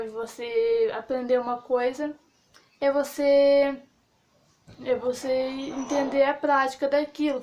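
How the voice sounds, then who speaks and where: High-voiced young woman vlogging. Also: a little mellow. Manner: rambling with many fillers and restarts. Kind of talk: casual opinion monologue.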